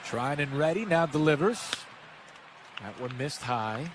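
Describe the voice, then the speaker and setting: Man, American accent, higher-set voice, baseball radio commentary, clear ballpark noise behind. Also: lively intonation, measured pace, a crowd in the background. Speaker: baseball radio play-by-play announcer. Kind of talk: live sports commentary. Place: stadium broadcast booth. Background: ballpark ambience.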